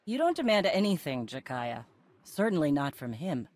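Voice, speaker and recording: A woman's voice: low-pitched, very animated, normal speed, a little mellow, no echo. An actress playing a tough, dry-witted female Mandalorian leader in a video game, assured and wry. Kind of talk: video game cutscene acting.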